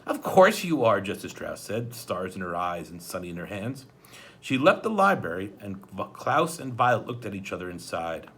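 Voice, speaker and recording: A man's voice: low-pitched, animated, normal speed, bright, forceful tone, husky. A man speaking as a school principal, casual and conversational, doing an informal read-aloud with asides.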